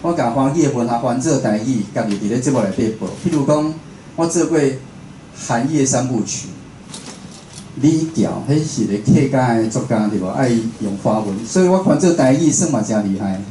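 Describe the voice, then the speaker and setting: Casting: male radio host, Taiwanese accent, mellow tone, chatty lecture delivery, roomy sound with faint noise. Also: mid-range voice, animated, brisk. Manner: conversational, asks the listeners rhetorical questions. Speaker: radio host and station director giving a talk. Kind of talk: informal spoken lecture. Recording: echoey room with faint background noise.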